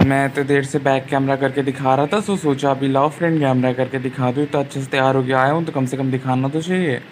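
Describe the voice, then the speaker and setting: A young man narrates a casual vlog in an informal, chatty way. His voice sits at an average pitch, with faint noisy ambience behind it. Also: fairly even intonation, quick pace. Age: 20s. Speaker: vlogger.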